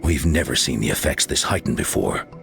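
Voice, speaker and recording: A deep-voiced solemn elder. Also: male voice, lively intonation, a little fast, vocal fry, over music, heavily acted. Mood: grave. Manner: formal, explanatory, somber. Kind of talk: video game voice acting.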